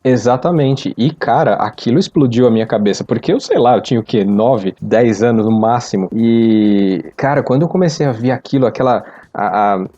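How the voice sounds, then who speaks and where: A man's voice: mid-range voice, normal speed, hardly any pauses, mellow. A man in his forties with a Brazilian Portuguese accent, chatting and telling anecdotes casually on a podcast. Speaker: podcast participant. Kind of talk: casual conversational storytelling. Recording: slightly dull, lo-fi microphone sound.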